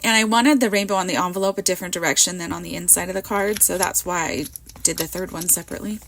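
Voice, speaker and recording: A woman in her thirties or forties, hoarse from a cold, rambling in a chatty, self-mocking way as she narrates her card making at a craft table. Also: mid-range voice, very noisy. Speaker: card maker. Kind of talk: casual crafting voiceover.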